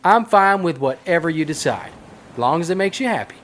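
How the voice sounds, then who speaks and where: A woman playing a supportive, tender lover in an audio drama, gentle and concerned. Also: fairly low pitch, lively intonation, fairly quick, lightly acted.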